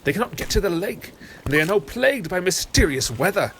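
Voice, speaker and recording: A man's voice: high-pitched, sing-song, a little fast, a bit bright, a little hiss or hum, exaggerated voice. A man playing an earnest, courteous winged birdman in a fantasy audio drama, with a formal way of speaking. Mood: urgent. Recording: music under the voice.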